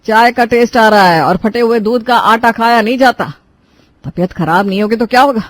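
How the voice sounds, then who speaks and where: A woman's voice: on the high side, moderate pace, no room sound, voice pushed up, lightly acted. A woman narrator plays an exasperated husband in a cartoon tale. He grumbles with sarcasm and sounds thoroughly fed up, in a dry recording. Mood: sarcastic grumbling. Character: exasperated, nagging husband fed up with stale food. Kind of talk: Hindi cartoon moral story dialogue.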